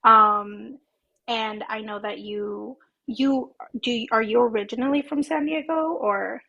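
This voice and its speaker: A woman's voice: fairly high pitch, steady tone, slow pace, vocal fry, muffled recording. A woman in her twenties from Southern California, a podcast guest talking casually with many ums and a shy laugh. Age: 20s. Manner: hesitant, lots of ums and likes, soft laughs. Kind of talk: casual interview chat.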